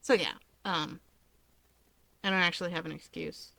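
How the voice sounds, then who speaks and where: A woman's voice: lowish voice, fairly even intonation, normal speed, vocal fry, sharp tone, no echo. A woman who reads fan fiction aloud and chats informally to listeners as the host of her channel. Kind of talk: expressive storytelling and casual chat to listeners.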